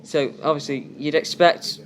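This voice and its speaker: A man co-hosting a casual sports chat, giving quick, emphatic opinions. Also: fairly high pitch, fairly even intonation, fairly bright tone, a little hiss or hum. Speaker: co-host.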